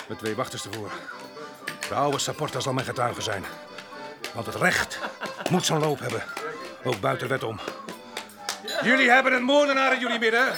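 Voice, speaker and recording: An actor in a radio drama playing a rough, boastful fugitive labourer with a violent past, swaggering and defiant. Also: male voice, a little high, sharp tone.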